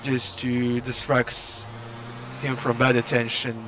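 A man's voice: mid-range voice, flat delivery, slow. Young Serbian-accented man on noisy voice chat. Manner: hesitant, searching for English words. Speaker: caller in a live stream.

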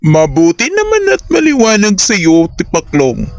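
A man's voice: high voice, wildly swooping pitch, measured pace, vocal fry, heavily acted. A man voicing male insect characters in a cartoon fable, giving advice and instructions. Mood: instructing. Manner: advising, directive. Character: male storybook insects, grasshopper's friend and stern father. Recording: music behind the voice.

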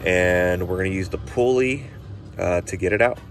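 A man's voice: sits low, moderate pace. A man in his thirties, an HVAC technician narrating a repair outdoors while he works, plain-spoken with many fillers. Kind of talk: narrating a repair job on camera. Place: outdoors beside a home's heat pump. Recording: noisy outdoor job site with tool clatter.

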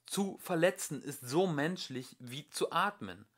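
A young man reading an audiobook as an amateur narrator, with expressive, emphatic delivery. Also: on the high side, measured pace, breathy edge. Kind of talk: scripted audiobook narration of a fairy-tale commentary.

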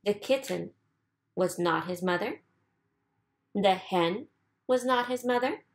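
A woman reading a children's storybook aloud expressively, with deliberate, sing-song emphasis. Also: medium pitch. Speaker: storybook reader.

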